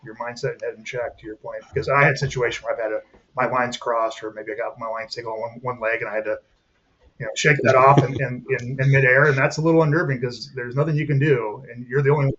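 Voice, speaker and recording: A man's voice: mid-range voice, steady tone, quick pace, fairly bright tone, muffled recording. A man co-hosting a podcast, chatting casually and agreeably, filling with "you know" and "yeah". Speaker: podcast co-host. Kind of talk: casual interview chat.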